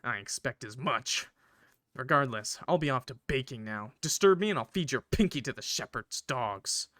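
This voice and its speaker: Villainous mother for a visual novel read by a man: stern, threatening, forceful delivery. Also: medium pitch, animated, moderate pace, no room sound, clean studio sound. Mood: stern, menacing. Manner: cold, threatening. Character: wicked, menacing adult woman, cruel adoptive mother.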